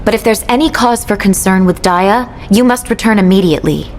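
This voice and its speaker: A mission operator, voiced by a woman who briefs in a measured, businesslike way. Her pitch sits mid-range. Clear background noise runs under the game audio. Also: lightly acted. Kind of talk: video game cutscene dialogue.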